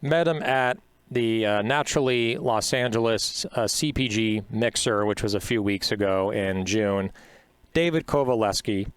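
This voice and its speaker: Low, smooth-voiced chatty podcast host. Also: male voice, measured pace, no room sound. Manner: chatty, upbeat, filler-heavy. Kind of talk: podcast interview.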